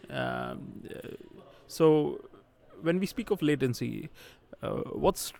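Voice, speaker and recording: A man's voice: medium pitch, slow pace, vocal fry, dark tone. A man interviewing a conference speaker, hesitant with many fillers.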